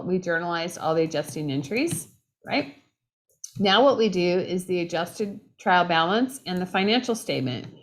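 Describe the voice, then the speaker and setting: Woman instructor, slightly low voice, losing her voice, chatty lecture style that keeps asking if you follow. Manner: conversational, checks in with "right?" and "okay?". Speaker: accounting instructor. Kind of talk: recorded lecture.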